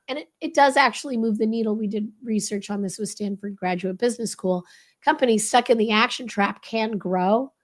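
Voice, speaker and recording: Hoarse woman explaining a leadership framework. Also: mid-range voice. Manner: earnest, explanatory, steady flow. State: hoarse, voice crackly. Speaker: author and guest.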